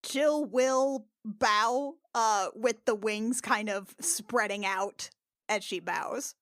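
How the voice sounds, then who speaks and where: A woman's voice: on the high side, drawn-out words, fairly bright tone, no room sound, clean studio sound. A woman roleplaying casually as a tabletop game player, choosing her words with thoughtful hesitation.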